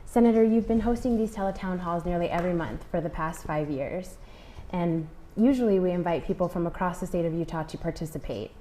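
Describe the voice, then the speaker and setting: A woman moderating a phone town hall, reading smooth scripted introductions. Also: on the low side, dark tone, slight background noise. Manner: smooth, read-from-script delivery.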